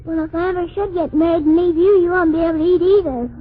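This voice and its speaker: A man's voice: very high voice, steady tone, quick pace, pitched above the performer's own voice. A man voicing a mischievous, literal-minded little girl with innocent, cheeky comebacks in a 1940s radio comedy act. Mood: curious, cheeky. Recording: tinny, hissy 1940s radio broadcast.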